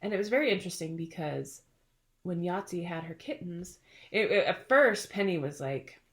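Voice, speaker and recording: A woman's voice: on the low side, animated, normal speed. A middle-aged woman hosting a casual chat, telling stories in a chatty, playful way and laughing often. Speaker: podcast host.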